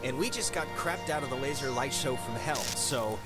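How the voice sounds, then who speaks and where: A man acts out a cautious American teenage boy in a video game, with music playing loudly behind him. He talks in wry, slangy phrases that swing up and down in pitch, always a little worried and sarcastic. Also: expressive, normal speed, lightly acted. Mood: wry, uneasy. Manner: dry, wry, hesitant slangy talk. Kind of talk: naturalistic video game dialogue.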